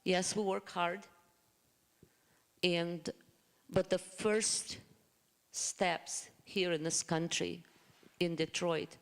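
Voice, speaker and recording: A panelist with a Russian accent, from the former Soviet Union, earnestly telling a family story to a congregation with many halting restarts. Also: mid-range voice, a bit bright. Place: congregation hall. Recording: long reverb of a hall.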